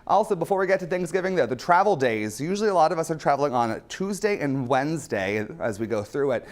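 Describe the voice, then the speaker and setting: A man's voice: a little high, animated, fast. A man presenting a morning weather forecast on local TV, easygoing and chatty. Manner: easygoing, chatty, repeats "as we go through it". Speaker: meteorologist.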